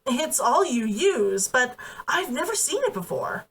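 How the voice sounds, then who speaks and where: A woman's voice: high-pitched, sing-song, measured pace, fairly bright tone, husky, heavily acted. A woman voicing a curious, naive noblewoman in a fantasy storybook reading, with an inquisitive manner. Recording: voice distant from the mic.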